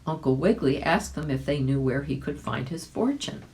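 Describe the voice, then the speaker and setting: A woman's voice: low voice, expressive, moderate pace, darkish tone. A woman reading a children's story aloud as a volunteer, chatting in casual asides and checking in with the listener.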